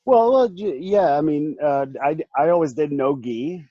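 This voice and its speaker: A man's voice: fairly high pitch, animated, moderate pace, dark tone, dull audio. A man in his late thirties, hosting a casual group chat, rambling with many restarts and laughing often. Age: 30s. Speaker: podcast host.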